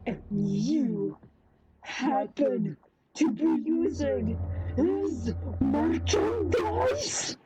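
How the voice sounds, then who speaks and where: A woman voicing a menacing, scornful dark lord in a comic dub, grandiose, with a distortion effect flipping side to side. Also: high voice, very animated, very slow, full character voice. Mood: scheming. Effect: distortion flipping between left and right. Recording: muffled, distorted, music behind.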